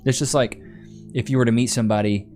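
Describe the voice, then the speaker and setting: A man's voice: mid-range voice, steady tone, fast, a little mellow, faint music behind. A young man, hosting a live stream and chatting casually while reading comments, laid-back and rambling. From Texas. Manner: laid-back, rambling, repeats himself, says "bro".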